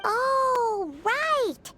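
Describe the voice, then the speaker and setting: A woman voicing a small, excitable female sprite companion in a video game, with a childlike, chirpy and inquisitive way of talking. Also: very high voice, very slow, faint music behind, full character voice. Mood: excited. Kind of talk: video game character acting.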